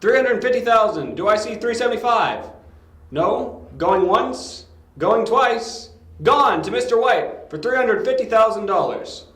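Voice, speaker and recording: A man playing a formal, brisk auctioneer announcing bids in an amateur audio drama. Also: sits high, expressive, a bit bright. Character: male auctioneer, brisk and formal, running bidding. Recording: echoey room.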